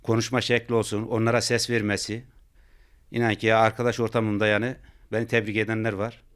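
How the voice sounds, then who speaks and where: A middle-aged man gives plain, informal interview answers. His voice is fairly low and very smooth, with little movement in pitch. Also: little pitch movement, quick pace. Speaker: parent being interviewed.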